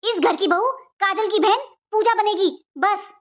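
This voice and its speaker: A woman's voice: very high-pitched, unhurried. A woman voicing an older mother-in-law in a cartoon family melodrama, speaking emphatically and repeating herself. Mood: stern, rejecting. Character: distressed older mother-in-law, stern then pleading for family peace.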